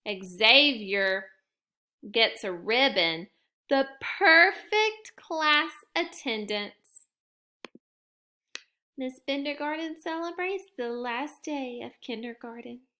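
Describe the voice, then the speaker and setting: A woman teacher reading a picture book aloud to her young students in a gentle, expressive storytime manner. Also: fairly high pitch, slow pace. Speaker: kindergarten teacher.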